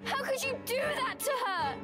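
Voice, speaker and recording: Very high-pitched stubborn little British witch girl. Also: big pitch swings, moderate pace, loud music behind the voice. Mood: angry, defiant. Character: ten-year-old girl, young witch, tearful but stubborn. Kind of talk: video game cutscene acting.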